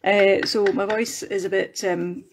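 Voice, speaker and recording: Chatty Scottish woman, a little croaky. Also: mid-range voice, little pitch movement, measured pace, slight background noise. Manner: chatty, rambling, self-deprecating, many restarts. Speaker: craft live-stream host. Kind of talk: casual live-stream chat while crafting. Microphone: phone.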